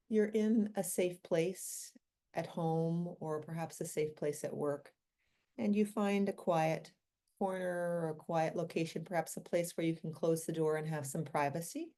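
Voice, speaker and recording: A woman guides a meditation in a low-pitched voice with a dark, relaxed tone. She speaks gently and without hurry, leaving long pauses between short phrases.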